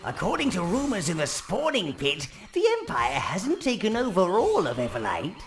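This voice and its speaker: Wildly swooping old goblin minion. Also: male voice, high-pitched, wildly swooping pitch, moderate pace. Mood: eager, briefing. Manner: theatrical, obsequious, exclaiming. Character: gleefully wicked, fawning old male goblin minion advisor. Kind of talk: video game character acting. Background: loud music.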